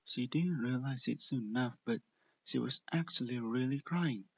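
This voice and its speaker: A man reading a fan fiction story aloud as an expressive narrator. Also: medium pitch, normal speed, vocal fry, husky. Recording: dull lo-fi close recording.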